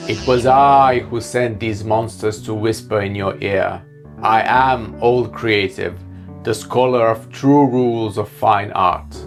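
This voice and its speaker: A man's voice: fairly low pitch, takes it slowly, music in the background. A man putting on a pompous old art scholar. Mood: haughty. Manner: haughty, scolding. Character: pompous old male keeper of art rules.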